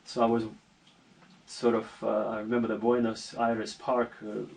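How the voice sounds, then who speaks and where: A man's voice: on the low side, completely flat delivery, moderate pace, gravelly, darkish tone, far from the mic, poor audio. A middle-aged man from Armenia telling his life story in a casual conversation, earnest and rambling, often restarting his sentences. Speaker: interview guest. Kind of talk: casual video-call conversation.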